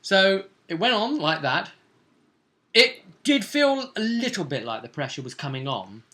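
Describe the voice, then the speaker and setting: A man hosting a game recap show as game master, chatting in an excitable, theatrical and playful way. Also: sits high, a little slow. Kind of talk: animated chat recapping a tabletop role-playing game.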